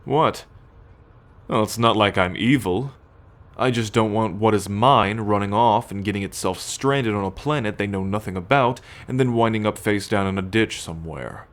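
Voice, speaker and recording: A low-pitched, haughty alien military captor, acted out by a man for an ASMR roleplay. He talks in measured, imperious phrases, musing and sighing, with a teasing possessiveness and cool menace toward his captive. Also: a bit bright, lightly acted. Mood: commanding, possessive. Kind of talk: ASMR roleplay acting, addressed to the listener.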